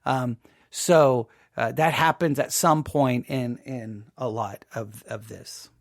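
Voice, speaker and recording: A middle-aged man giving informal personal commentary as a host, in a candid, halting way, repeating himself as he goes. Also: medium pitch, fairly even intonation, creaky, dry recording, clean studio sound. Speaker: podcast host.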